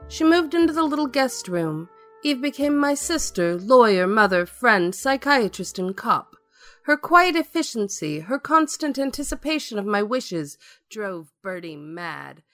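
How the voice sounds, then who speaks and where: An actress as a theatrical leading lady with a high voice and very lively, swooping intonation. She is teasing, possessive and insecure in love, played as radio drama with music underneath. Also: a little slow. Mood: wistful narration. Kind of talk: dramatic radio play acting with first-person narration.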